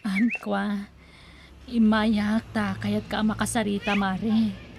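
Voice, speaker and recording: A woman's voice: mid-range voice, little pitch movement, takes it slowly. A woman playing a concerned village mother with an Ilocano accent in an audio drama. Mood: hurt, reproachful. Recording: clearly noisy ambient background.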